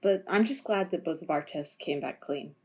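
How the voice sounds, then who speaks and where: A woman's voice: sits low, normal speed, dark tone, husky. A woman playing sly policewoman Riley. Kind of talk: amateur audio drama acting.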